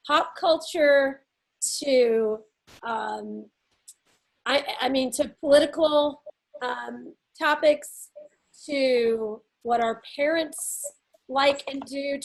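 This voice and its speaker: A woman's voice: sits high, rough recording. A middle-aged woman from Nashville with a Southern accent, co-hosting a podcast in casual, laughing chat with frequent ums. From Nashville, Tennessee. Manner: chatty, laughing often, drawn-out pauses with ums. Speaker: podcast co-host.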